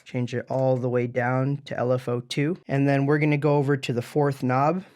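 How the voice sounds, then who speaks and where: A man's voice: medium pitch, flat delivery, moderate pace, a little mellow. A man presenting a music gear tutorial in an easygoing, informal step-by-step way.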